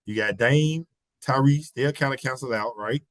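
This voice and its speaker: A man with a slightly hoarse voice from a cold, talking basketball as a podcast guest in animated casual chat, repeating his points. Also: medium pitch, normal speed, dry recording. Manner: animated, repeats phrases, slangy. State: hoarse, getting over a cold.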